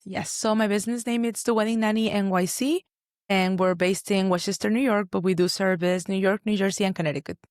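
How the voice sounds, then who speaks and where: Dry-recorded woman from Mexico, mid-pitched. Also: steady tone, fairly quick, darkish tone, dry recording. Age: 30s. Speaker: podcast guest, small business owner. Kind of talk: casual conversational interview.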